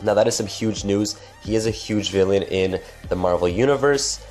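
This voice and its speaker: A man's voice: fairly low pitch, moderate pace, a little mellow, faint music behind. A man hosting a gaming channel, talking through game news in a casual, chatty way, his voice stuffed up from a cold. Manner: chatty, lots of restarts and filler phrases. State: congested from a cold.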